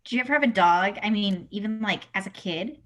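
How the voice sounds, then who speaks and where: A woman's voice: medium pitch, animated, a little fast, a bit bright, close to an everyday voice. A woman playing a blunt young female veterinarian in a parody radio play, brusque and quizzing. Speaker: comedy radio-play actor.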